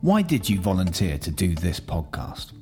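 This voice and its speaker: Deep-voiced man with dry, teasing sarcasm. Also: sing-song, moderate pace, darkish tone, soft background music. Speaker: podcast host, interviewer. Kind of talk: scripted podcast interviewing.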